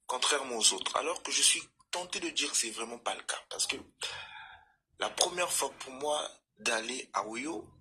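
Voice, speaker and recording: A man from Congo-Brazzaville calling into a live stream, speaking formally and deliberately about politics. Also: mid-range voice, animated, pushed and bright, slightly rough. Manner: articulate, formal, deliberate phrasing. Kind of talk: measured political commentary.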